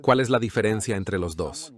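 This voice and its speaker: Low-voiced man hosting an interview. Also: lively intonation, normal speed. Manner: inquisitive, guiding questions. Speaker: interview host.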